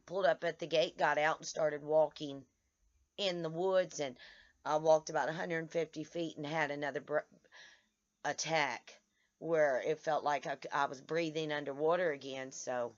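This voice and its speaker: Hoarse woman, vlogger, tired, halting delivery full of restarts; muffled home-video sound. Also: deep voice, fairly even intonation. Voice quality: halting, many restarts and stammers. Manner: tired, speaks in short broken phrases. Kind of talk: casual vlog to followers. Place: outdoors in the sun.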